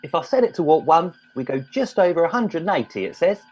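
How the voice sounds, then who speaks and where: Man playing a naive, husky young lad. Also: on the high side, very animated, fast, darkish tone, faint music behind. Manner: boyish, literal, defensive. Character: naive, whiny young lad piloting a spaceship. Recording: dull lo-fi recording.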